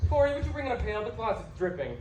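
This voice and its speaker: A woman's voice: on the high side, sing-song, very fast, distant mic. A woman acting a practical young newlywed husband in a stage comedy-drama, dry, sarcastic and exasperated. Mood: dry, irritated. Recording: echoey room with background noise.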